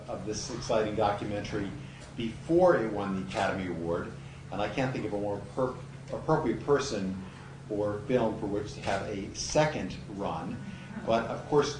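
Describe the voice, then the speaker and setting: A man hosting a screening event, giving an introduction speech with emphatic repetition. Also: on the low side. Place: event hall before an audience. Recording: roomy, noisy hall, far from mic.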